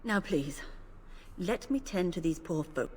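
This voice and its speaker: An actress playing a devout, principled priestess who speaks in a solemn, measured way. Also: female voice, fairly low pitch, animated, darkish tone, slight background noise. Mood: reluctant. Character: devout older female priestess, gentle but principled. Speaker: game character. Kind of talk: video game voice acting. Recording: game audio with faint ambience.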